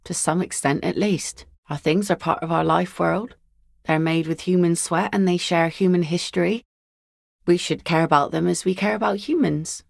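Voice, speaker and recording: A gruff, weary fisherwoman. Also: lowish voice, moderate pace, dry recording. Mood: dry. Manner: blunt, dry, matter-of-fact. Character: weary working-class fisherwoman, blunt and practical. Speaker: game character voice lines. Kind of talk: video game voice acting. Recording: dry close studio recording.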